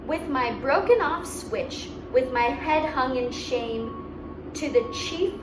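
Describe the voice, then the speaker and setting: A woman reads a picture book to children in a fairly high voice. Her delivery is expressive and very unhurried, with simple explanations. She sounds a little distant from the microphone. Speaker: story reader and host. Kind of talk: children's read-aloud storytelling with asides. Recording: roomy, distant mic, clear background noise.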